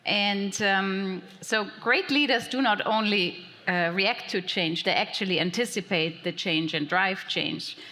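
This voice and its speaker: A woman moderating a conference panel, upbeat and brisk as she introduces the speakers and asks questions. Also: mid-range voice, pushed and bright. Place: conference hall. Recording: hall reverb.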